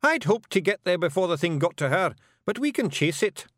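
A man voicing a tiny, brash male fairy warrior chief with a broad Scottish accent, loud and boisterous. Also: sits high, big pitch swings, fairly quick, dry recording, clean studio sound, pitched above the performer's own voice. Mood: cocky.

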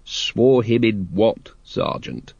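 A man voicing an exasperated, sarcastic captain of a city watch with a gruff, snappish manner. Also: fairly low pitch, slow pace, a little mellow, dry recording, lo-fi sound, full character voice. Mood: sardonic disbelief.

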